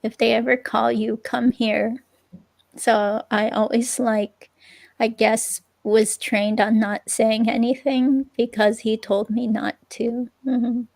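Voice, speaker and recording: A woman's voice: fairly high pitch, little pitch movement, slow pace, gravelly, darkish tone, full character voice, low-quality recording. A woman reading the part of a hurt ex-girlfriend in a police interview, hesitant and rambling, in a conversational dramatic reading. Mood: conflicted, unsure. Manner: hesitant, rambling, filler-laden.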